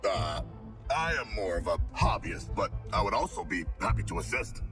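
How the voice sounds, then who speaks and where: A lowish male voice with very wide inflection over loud music. a polite, bookish video game brute who talks formally and takes things literally. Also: very animated, normal speed. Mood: polite, earnest. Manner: courteous, formal. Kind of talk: game cutscene character acting.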